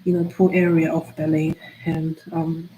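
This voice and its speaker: A woman whose first language is Chinese, chatting on a study livestream in a halting way, with many fillers and restarts. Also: deep voice, flat delivery, warm tone. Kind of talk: casual study-stream chat. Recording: echoey room with faint ambient noise.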